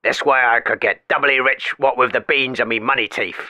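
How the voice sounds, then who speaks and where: A man's voice: mid-range voice, fairly even intonation, brisk, pushed and bright, no echo. A man voicing a dim-witted, hesitant working-class caller in a comedy radio sketch. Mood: dopey.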